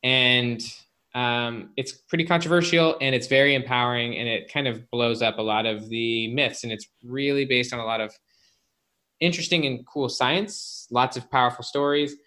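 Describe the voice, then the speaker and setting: A man's voice: mid-range voice, moderate pace, pushed and bright. A man speaking as an expert guest on a casual podcast, explaining things directly to the listener. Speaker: guest, author and organizational psychologist.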